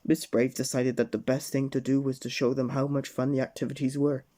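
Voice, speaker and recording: Dark-voiced man reading a bedtime story. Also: medium pitch, flat delivery, brisk. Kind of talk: children's storybook narration.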